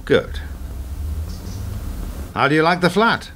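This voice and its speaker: A man acts out a middle-aged fixer in a radio play, smooth and menacing. He speaks with theatrical deliberation and sardonic condescension, his pitch swinging widely as he controls those around him. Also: expressive, slow, a bit bright, a little hiss or hum. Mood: smug, menacing.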